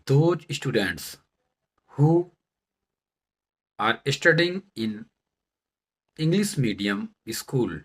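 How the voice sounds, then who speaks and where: A man with an Indian accent whose first language is Bundelkhandi addresses viewers in a mid-pitched voice. He speaks slowly and deliberately, breaking sentences into short chunks and leaning on key words. The style is that of a personal introduction to camera. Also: big pitch swings, husky. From Madhya Pradesh, India. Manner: deliberate, halting phrasing with stressed words. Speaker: vlogger, English learner turned presenter. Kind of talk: self-introduction talk to camera.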